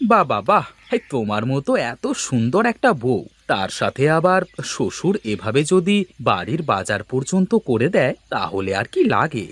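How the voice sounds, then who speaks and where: A man voicing a cheerful, teasing husband in a cartoon family drama, expressive and jovial. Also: mid-range voice, normal speed, slightly rough, dry recording. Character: cheerful, teasing Bengali husband who loves good food.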